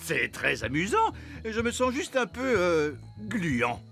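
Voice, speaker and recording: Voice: mid-range voice, huge pitch swings, slow, creaky, fairly bright tone, full character voice. A genial cartoon king of the fairies. He speaks with lots of swing in a polite, diplomatic, slightly hesitant way, with faint music behind. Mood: awkwardly polite. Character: genial, tactful fairy king and father. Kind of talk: French cartoon dub.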